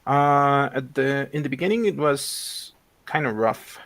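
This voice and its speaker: A man in his thirties from Mexico talks through a casual interview, pausing often with fillers and restarting his sentences. His voice sits at an ordinary middle pitch. The sound is dry, with no room echo. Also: a bit bright. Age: 30s. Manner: hesitant, many fillers and restarts. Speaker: guest.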